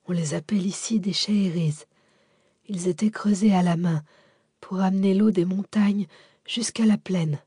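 A man's voice: sits high, a little mellow, no room sound, studio quality. A woman voicing an old widowed benefactor, weakened and whispery, confiding secrets with pride and tenderness. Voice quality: whispery, breathy, faint.

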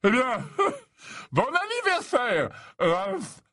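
A man voicing a jovial, fussy older potions professor in an audiobook, flattering, stammering and repeating himself. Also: very high-pitched, very slow, dry recording, studio quality, voice pushed up, exaggerated voice. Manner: stammering, repeats himself, tacks on questioning tags.

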